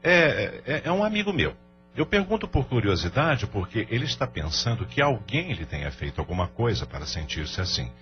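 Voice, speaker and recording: A man's voice: fairly low pitch, big pitch swings, normal speed. A man playing a troubled, evasive businessman and father in an audio drama, with a hesitant, formal manner. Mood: evasive, probing. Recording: dull lo-fi audio with music underneath.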